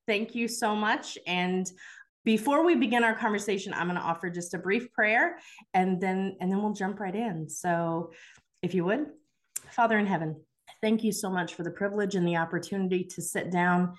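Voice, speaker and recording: A woman with a slightly low voice hosts a faith-based interview. She opens with a spoken prayer and then asks earnest, gently worded questions. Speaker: host.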